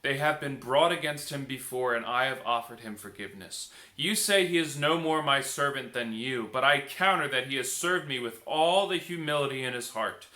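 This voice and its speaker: A man voicing a noble, solemn and merciful king in a storybook, speaking in a measured, declamatory way. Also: medium pitch, bright, forceful tone, natural voice. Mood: firm, forgiving. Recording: echoey room.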